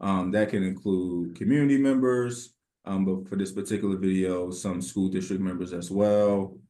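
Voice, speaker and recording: A man hosting an interview, polite and halting, with frequent fillers. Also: sits low, steady tone, mellow. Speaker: interviewer, host.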